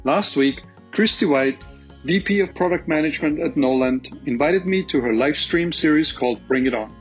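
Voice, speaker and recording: A middle-aged man with an Austrian accent, talking through industry questions in a measured, optimistic way, with plenty of ums. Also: fairly high pitch, faint music behind. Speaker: podcast host and industry guest. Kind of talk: conversational interview answers. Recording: slightly dull lo-fi audio.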